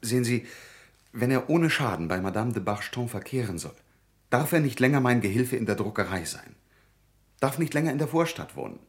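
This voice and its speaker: An actor playing an earnest, troubled young printer and devoted friend in a German radio drama, grave and measured. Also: male voice, medium pitch, animated, close to an everyday voice. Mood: pleading.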